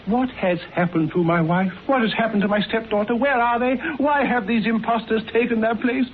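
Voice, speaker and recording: An actor in a radio drama playing a fussy, flustered little editor who speaks formally and repeats himself. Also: male voice, high voice, a little fast, natural voice. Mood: distressed, indignant. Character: fussy, agitated, inoffensive little cat-magazine editor. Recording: tinny band-limited radio recording with hiss.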